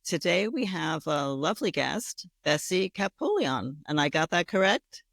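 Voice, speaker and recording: Gravelly-voiced woman hosting an interview. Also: on the low side, sing-song, measured pace, fairly bright tone, no echo, clean studio sound. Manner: friendly, conversational. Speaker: podcast co-host.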